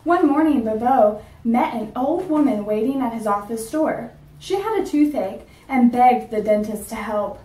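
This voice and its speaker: A woman's voice: on the high side, expressive, moderate pace, mellow. Woman teacher reading a storybook aloud. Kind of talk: reading a picture book aloud. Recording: roomy sound from a distance.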